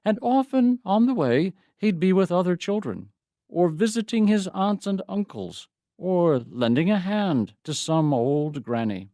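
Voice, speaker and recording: A man performing a dramatic poem as a troubled, devoted father, telling his story in a solemn, measured, deliberate way. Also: high voice, very animated, warm tone, dry recording, studio quality, exaggerated voice. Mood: wistful recollection. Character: Saint Joseph, troubled, devoted stepfather recalling his lost boy. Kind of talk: dramatic poetry reading, first-person monologue.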